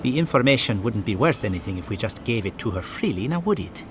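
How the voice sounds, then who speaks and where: An actor playing an eccentric, smug, inquisitive philosopher in a video game, arch and theatrical. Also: male voice, lowish voice, very animated, quick pace, noisy background. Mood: probing, smug. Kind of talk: video game cutscene dialogue.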